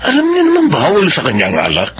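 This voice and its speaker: An actor playing a concerned, sorrowful father in a Filipino radio drama, grave and gentle. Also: high voice, wildly swooping pitch, racing, highs cut off, exaggerated voice. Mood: worried. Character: concerned, sorrowful father of an ailing adult son. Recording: radio drama with loud music underneath.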